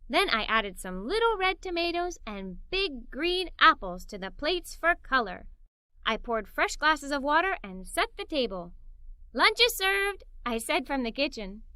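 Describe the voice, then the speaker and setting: A woman reading a children's story aloud in the first person, with animated, expressive delivery. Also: sits high, no echo. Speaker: storybook narrator.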